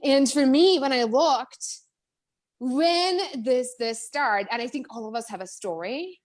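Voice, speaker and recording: A woman with a Czech accent, a guest speaker in a live-streamed interview, talking in a chatty, animated way with many restarts and fillers. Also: high voice, unhurried. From Czech Republic.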